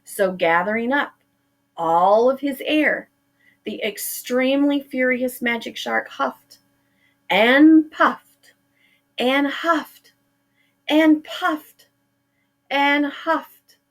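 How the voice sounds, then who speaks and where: A woman's voice: sits high, extremely slow, pauses often. A middle-aged woman teacher reading a picture book aloud to her pupils, drawing out words for effect.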